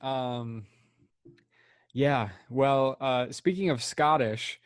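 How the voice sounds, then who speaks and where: A man's voice: medium pitch, extremely slow, clean tone, no room sound, studio quality. Laid-back young male podcast co-host. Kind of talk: casual chat.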